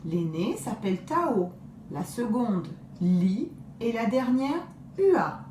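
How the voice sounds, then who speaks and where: A woman voicing a kind old grandmother in a storybook, answering gently and plainly. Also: a little high, big pitch swings, slow pace, far from the mic, slight background noise. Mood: calm. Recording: roomy echo.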